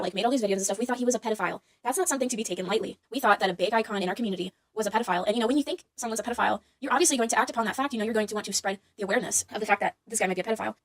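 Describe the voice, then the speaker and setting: A woman's voice: fairly high pitch, dry recording. A woman giving her opinions casually, in a defensive, self-justifying way. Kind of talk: casual opinion video talk.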